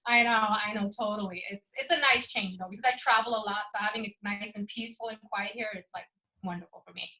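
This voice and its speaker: A woman's voice: medium pitch, fast talker, sharp tone, poor audio. A young woman from Sweden, a photographer, talking earnestly and eagerly as an interview guest, with frequent ums. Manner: earnest, enthusiastic, many ums. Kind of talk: casual interview talk.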